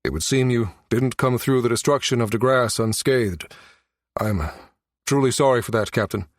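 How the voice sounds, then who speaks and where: Male narrator as stern security chief in a sci-fi audiobook, clipped, forceful, quick to outrage, dry sound. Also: mid-range voice, dry recording, lightly acted. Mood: grave.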